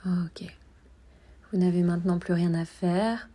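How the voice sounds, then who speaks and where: A woman guiding a body scan meditation in a soft, at times breathy voice, with calm, soothing instructions and long pauses. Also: fairly low pitch. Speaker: yoga meditation guide.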